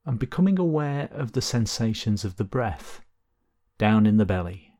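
A man leading a guided body scan meditation, giving gentle, unhurried instructions with long pauses between phrases. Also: lowish voice, very animated.

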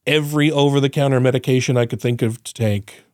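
A man's voice: mid-range voice, fairly even intonation, quick pace, a little mellow, dry recording, studio quality. A man co-hosting a podcast in casual chat, his voice still a bit congested and nasal, rambling, swearing freely and laughing often. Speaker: podcast co-host.

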